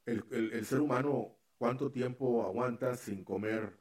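A man's voice: mid-range voice, a little slow, darkish tone. A man hosting a casual radio chat, rambling with many fillers and restarts. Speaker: radio show host.

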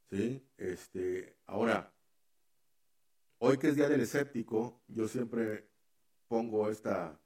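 A man's voice: lowish voice, a little mellow. A man hosting a casual radio chat, rambling with many fillers and restarts. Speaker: radio show host.

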